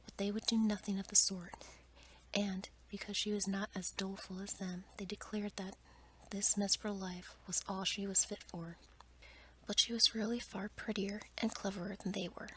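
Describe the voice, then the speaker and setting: A woman reading a fairy tale aloud as an ASMR bedtime story in a soft, breathy, unhurried whisper. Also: mid-range voice, flat delivery, low-quality recording. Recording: clear background noise, ambient sound.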